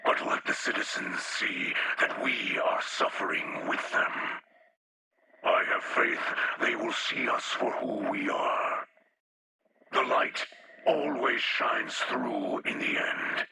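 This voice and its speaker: An actor playing a solemn, weary alien leader in a video game, speaking gravely in a breathy, guttural rasp. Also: male voice, mid-range voice, very noisy, natural voice. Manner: slow, grave, measured. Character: solemn, weary male alien leader pleading for his people. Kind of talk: dramatic game character dialogue.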